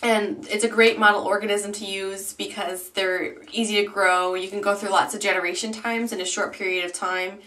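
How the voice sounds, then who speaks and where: A bright-toned woman in her twenties talks casually to her camera, filling pauses with ums and likes as she rambles along. Her voice sits at an ordinary pitch. The camera mic is a little distant, so she sounds slightly far off. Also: level tone. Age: 20s. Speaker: YouTube beauty vlogger. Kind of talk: casual vlog chat.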